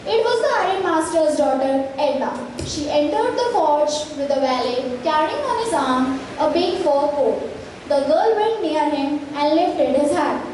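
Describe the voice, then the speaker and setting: A woman reads scripted stage narration in a high voice. The recording is very echoey with clear noise behind her. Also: lively intonation, unhurried, a little mellow.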